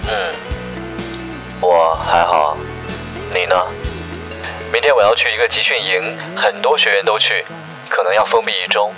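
An actor in a radio drama playing a young aspiring singer, an affectionate but tired boyfriend who speaks coaxingly. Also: male voice, lowish voice, moderate pace, long pauses, natural voice. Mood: gentle. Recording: music behind the voice. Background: background music.

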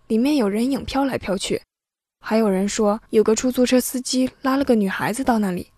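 An eager, curious young student, played by a woman in an audio drama. The voice sits fairly high and is recorded very dry, with no room around it. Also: darkish tone, dry recording.

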